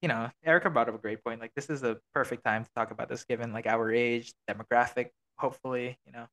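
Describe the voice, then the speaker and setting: Male, early twenties, mid-pitched voice, informal podcast co-host with loose conversational delivery. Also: steady tone, quick pace, a bit bright. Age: early 20s. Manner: informal, swears casually, peppers talk with "like" and "you know". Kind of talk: casual chat.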